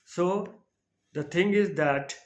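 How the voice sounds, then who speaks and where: A man's voice: high-pitched, takes it slowly. An older man from India with an Indian English accent, speaking English as a second language, giving an earnest motivational talk on his podcast and often repeating himself. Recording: echoey room.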